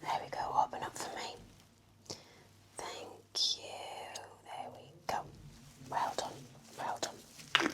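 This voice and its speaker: Softly whispering woman, very slow. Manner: gentle, soothing, reassuring. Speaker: ASMR roleplay performer as a nurse. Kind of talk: whispered ASMR personal-attention roleplay.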